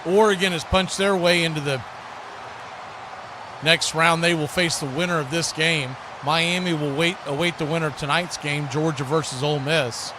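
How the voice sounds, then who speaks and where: A man's voice: fairly high pitch, fairly even intonation, moderate pace, background noise. A man doing live football play-by-play commentary in punchy, clipped phrases. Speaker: play-by-play sports announcer.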